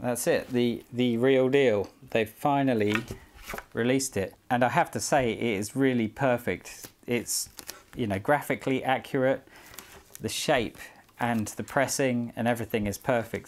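A British man in his forties talking casually to camera as he shows a product in a room at home. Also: medium pitch. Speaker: skateboard collector vlogger. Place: small indoor room at home.

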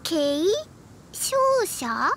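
A woman's voice: high voice, drawn-out words, creaky, heavily acted. A woman playing a sweet, curious little girl in an anime game, with a naive, childlike manner. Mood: confused. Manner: childlike, naive, refers to herself by name. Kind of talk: anime game character dialogue. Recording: loud music behind voice.

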